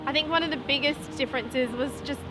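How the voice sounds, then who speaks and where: Female from Australia, a bit high, easygoing testimonial, music bed. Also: quick pace. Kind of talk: interview testimonial.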